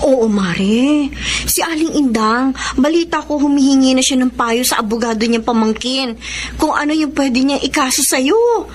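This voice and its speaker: A meddling, concerned female neighbour in a radio drama. She confides in a high voice, with faint noise behind her. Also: expressive, moderate pace. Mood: confiding, apologetic. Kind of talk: Tagalog radio drama acting.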